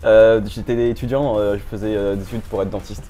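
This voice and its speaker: A young man from French Guiana, a singer, talks with a low, relaxed voice in a bar interview. His speech is slangy and easygoing, dotted with light laughter, and faint music plays behind him. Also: fairly quick. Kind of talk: casual interview chat.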